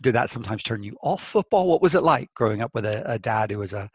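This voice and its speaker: A man's voice: medium pitch, expressive, quick pace, breathy edge, no room sound, studio quality. A man hosting an interview over a video call, asking friendly, lightly teasing questions. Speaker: podcast host. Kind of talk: casual interview chat.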